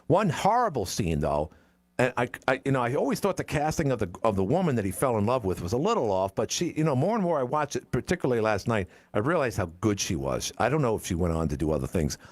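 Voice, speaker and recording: A sixty-year-old man hosting call-in talk radio. He rambles and restarts his thoughts, his pitch swinging widely. The sound is close and dry, with no room in it. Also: very animated, fast talker, no echo. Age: 60s. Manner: rambling, opinionated, self-interrupting. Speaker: radio talk show host. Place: radio studio.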